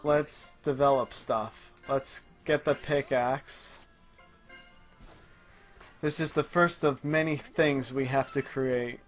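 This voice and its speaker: A man's voice: medium pitch, steady tone, slow pace, soft background music. A man casually chatting and commenting as he plays a video game, with dry, joking sarcasm. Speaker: host playing a video game on a gaming show. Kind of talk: casual chat and gameplay commentary.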